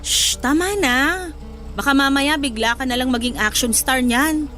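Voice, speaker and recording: An actress playing a sensitive, chiding young wife with a Filipino accent in emotional, colloquial radio drama dialogue. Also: female voice, sits high, unhurried, bright, forceful tone, soft background music. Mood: soothing, teasing.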